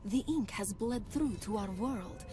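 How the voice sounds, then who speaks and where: A woman's voice: mid-range voice, lively intonation, a little slow, dark tone, close to an everyday voice. Actress as playful ghostly lady, theatrical, sarcastic, swooping intonation, video game cutscene. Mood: wry. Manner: teasing, theatrical. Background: game music.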